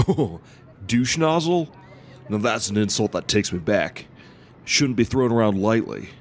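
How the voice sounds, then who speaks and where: Male actor as mellow-tempered surfer guy, lowish voice, rambling storyteller, slangy, audio drama. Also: lively intonation, a little slow. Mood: laid-back. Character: laid-back rambling surfer-slang dude, good-natured.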